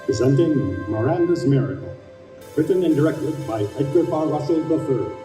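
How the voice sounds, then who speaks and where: A man's voice: medium pitch, expressive, normal speed. A man announcing an audio play in the style of an old-time radio show. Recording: echoey room, distant mic, music behind. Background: music.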